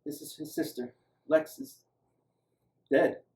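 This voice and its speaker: A man voicing a grieving, guarded young man's sister in a story, quiet and wistful. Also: fairly high pitch, steady tone, takes it slowly. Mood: grief-stricken. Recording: roomy, echoing, distant microphone.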